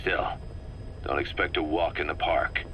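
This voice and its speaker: An actor playing a male mercenary commander who gives a brisk, direct briefing full of blunt warnings. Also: a bass voice, steady tone, a bit bright, slight background noise, heavily acted. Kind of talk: video game voice acting.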